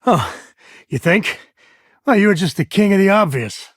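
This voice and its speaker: Very husky, wry space frontiersman. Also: high-pitched, very animated, moderate pace, no echo, clean studio sound, full character voice. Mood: sarcastic. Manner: casual, wry, folksy. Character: easygoing, wry spacefaring frontier explorer and devoted father. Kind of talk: video game character dialogue.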